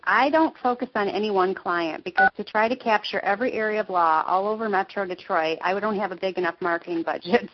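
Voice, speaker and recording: A woman working as a lawyer, talking about networking in a casual chat, upbeat and laughing easily. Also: medium pitch. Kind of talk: casual conversation. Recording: dull lo-fi audio.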